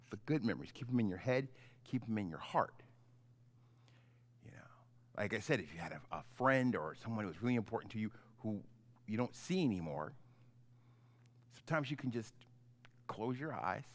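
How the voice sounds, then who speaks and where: A middle-aged man, a pastor, giving an informal, halting children's message in simple, conversational words. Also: lowish voice. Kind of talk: gentle children's sermon, informal storytelling.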